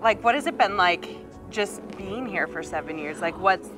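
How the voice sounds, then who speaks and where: A woman's voice: medium pitch, expressive, normal speed, music in the background. A woman hosting a TV station interview, eager and laughing a lot, prompting her guest with many "mm-hmm"s. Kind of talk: casual interview chat.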